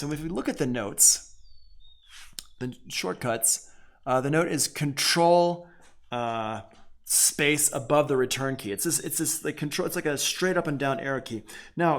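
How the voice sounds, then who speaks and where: A man giving a casual software tutorial as a music production teacher, informal and conversational, thinking aloud. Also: a little high.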